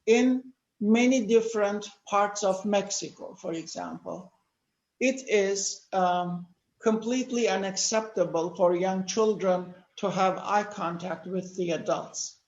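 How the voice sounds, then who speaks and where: An older man from Turkey, speaking natively, giving a measured lecture-style talk with many pauses. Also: high-pitched, darkish tone, echoey room, highs cut off. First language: Turkish. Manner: measured, explanatory, with frequent "uh" pauses. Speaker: panel speaker. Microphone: video call.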